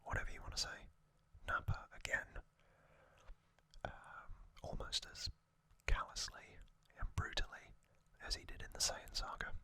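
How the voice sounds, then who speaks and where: Slow, whispering ASMR host. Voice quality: soft breathy whisper, mostly unvoiced. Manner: slow, gentle, lingering pauses between short phrases. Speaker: host of a whispered trivia channel. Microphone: close microphone.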